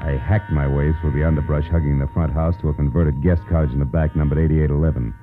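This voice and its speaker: A man's voice: a bass voice, fairly even intonation, fast talker. A man playing a tough, wisecracking private detective in a 1940s radio drama, with a brusque, slangy, sardonic delivery. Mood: dry narration. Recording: muffled old radio recording with music.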